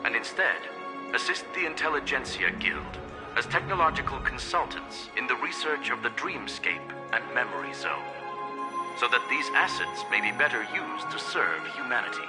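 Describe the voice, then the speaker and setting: A man playing a refined, courteous robot intellectual in a video game, speaking in formal, precise, measured phrases. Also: medium pitch, big pitch swings, over loud music, lightly acted, rough recording. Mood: calm, contemplative. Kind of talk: scripted game dialogue.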